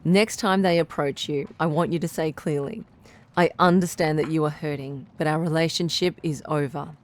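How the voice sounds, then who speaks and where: A woman playing a caring, composed radio relationship doctor who gives advice in a measured, articulate, reassuring way. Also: low-pitched, steady tone, natural voice. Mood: calm, advising. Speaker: radio advice show host. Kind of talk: radio drama advice-show acting.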